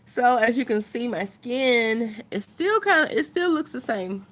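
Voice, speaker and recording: A woman's voice: fairly high pitch, expressive, unhurried. A woman chatting casually to her viewers as a vlogger, thinking aloud in a rambling way.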